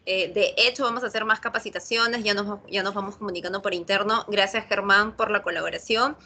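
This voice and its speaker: A woman hosts a training session by video call and closes it politely. Her voice is bright and clear-edged, with middle pitch. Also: fairly even intonation, brisk. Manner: polite, thankful. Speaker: training organizer and host. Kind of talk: closing thanks.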